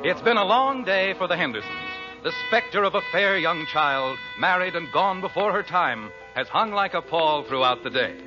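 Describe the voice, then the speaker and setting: Music behind, wry old time radio narrator, dull sound. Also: male voice, high-pitched, animated, normal speed. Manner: mock-solemn, wry. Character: wry, smooth radio comedy storyteller. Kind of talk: 1940s radio scripted narration. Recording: dull 1940s radio recording.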